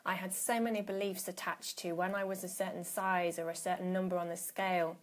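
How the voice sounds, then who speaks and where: A woman's voice: on the low side, little pitch movement, a little fast. A woman in her thirties chats to her viewers on a live stream, greeting them by name as they join. She talks casually and often restarts her phrases, recorded on a phone or laptop at home. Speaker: coach hosting a live stream.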